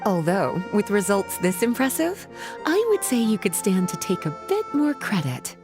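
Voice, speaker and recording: A woman's voice: medium pitch, sing-song, moderate pace, music in the background. A woman voicing an elegant, teasing grown-up sorceress in a video game, coy, honeyed and playful. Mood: affectionate, teasing. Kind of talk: English video game voice acting.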